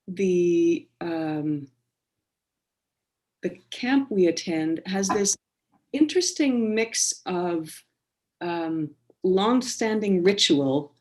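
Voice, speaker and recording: Slow, measured canadian woman poet. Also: lowish voice. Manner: thoughtful, measured, many fillers and restarts. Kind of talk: online book launch conversation and poetry reading. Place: home, over a video call. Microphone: video call.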